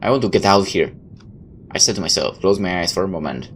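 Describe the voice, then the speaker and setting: A man's voice: low-pitched, expressive, fast. A man reading a visual novel aloud like a dramatic storyteller, tossing in his own asides. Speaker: let's player. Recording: clear background noise.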